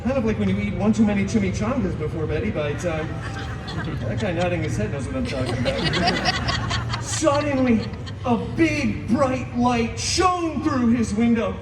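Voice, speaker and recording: A man's voice: high voice, animated, measured pace. A man playing a cheeky, sarcastic superhero who tells a parody storybook tale live, with theatrical asides. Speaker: costumed character storyteller. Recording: echoey venue, distant, music behind.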